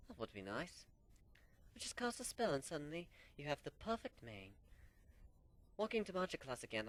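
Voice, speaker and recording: A man reading a fan story aloud in the first person, in an amateur dramatic style. His pitch sits in a middle range but moves in a lively way, dipping low and quiet for the creepy parts. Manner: first-person narration, animated, drops low for suspense. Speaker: story reader.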